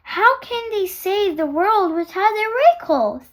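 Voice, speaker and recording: A young girl reading a children's story aloud in a halting, sing-song way, putting questions to young listeners. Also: extremely high pitch, lively intonation.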